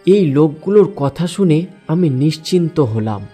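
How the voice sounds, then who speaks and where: A man's voice: on the high side, expressive, normal speed, warm tone, soft background music. A man narrating a first-person horror story in a dramatic, suspenseful way.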